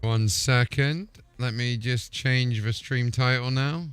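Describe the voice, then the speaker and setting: A man talks casually to viewers as he hosts a live gaming stream. His voice sits at a medium pitch, and music plays behind him while he explains fixes and comments on the game. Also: steady tone, slow, a bit bright. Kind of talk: casual live-stream chat with gameplay and troubleshooting.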